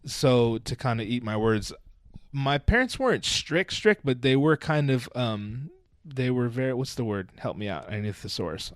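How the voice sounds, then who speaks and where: A man's voice: mid-range voice, lively intonation, normal speed, no room sound. A man in his thirties, a podcast guest, talking about his upbringing in a laid-back, nonchalant way and swearing freely. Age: 30s. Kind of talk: casual chat.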